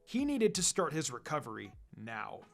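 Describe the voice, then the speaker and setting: A man's voice: medium pitch, wildly swooping pitch, moderate pace, creaky. A man reading fan fiction aloud as a dramatic scripted narration. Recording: music under the voice. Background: background music.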